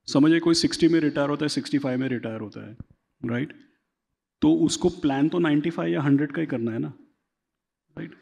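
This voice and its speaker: A man's voice: mid-range voice, gravelly, warm tone, breathy edge, long reverb tail. A man as a finance expert guest in an interview, explaining at length and often checking for agreement. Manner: explanatory, seeks agreement with repeated tag questions. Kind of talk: conversational interview, Hindi-English mix.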